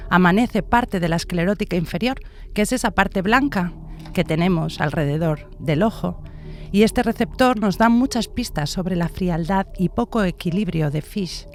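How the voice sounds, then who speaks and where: A woman's voice: lowish voice, moderate pace, faint music behind. A woman from giving explanatory commentary as an expert guest. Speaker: expert guest, face-reading analyst.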